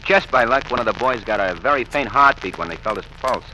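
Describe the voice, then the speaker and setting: An actor playing a chatty, sympathetic morgue attendant in a folksy, casual 1940s radio acting style. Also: male voice, mid-range voice, expressive, a little fast, bright, forceful tone. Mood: casual sympathy. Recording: noisy old radio recording.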